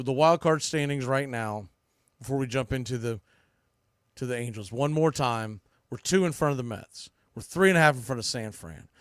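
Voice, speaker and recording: Man, sports podcast host, slightly high voice, casual commentary, opinionated, emphatic, informal, very dry sound. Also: no room sound.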